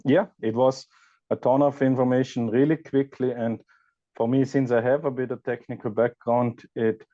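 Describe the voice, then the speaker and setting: A man from Austria with an Austrian accent, a podcast guest chatting casually and pausing to search for words. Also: medium pitch, steady tone, no echo, dull audio. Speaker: guest, EV content creator. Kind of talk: casual interview chat.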